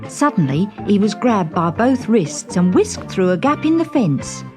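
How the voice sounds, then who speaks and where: A woman narrating a scripted children's story in a dramatic, expressive storytelling manner. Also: mid-range voice, a little slow, music in the background.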